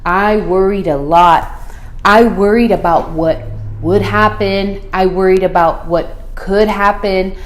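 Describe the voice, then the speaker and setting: A woman reading a picture book aloud to children as a school principal, expressive and deliberate, putting questions to her listeners. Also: mid-range voice. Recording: roomy, echoey room sound.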